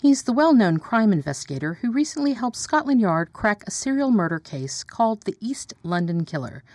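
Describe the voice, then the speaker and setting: A woman acting as a brisk, formal female news presenter in a radio play. Her delivery is pointed and challenging, with very animated pitch in a dry studio sound. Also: dry recording, lightly acted. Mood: formal, poised.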